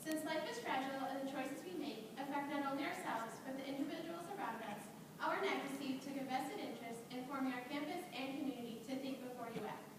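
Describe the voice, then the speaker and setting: A high-pitched young woman from Rochester, New York, earnestly recites a rehearsed student presentation. Her voice reaches the mic from afar in a heavily echoing auditorium with background noise. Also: fast. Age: young adult. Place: large auditorium. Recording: echoey hall, distant mic, noisy.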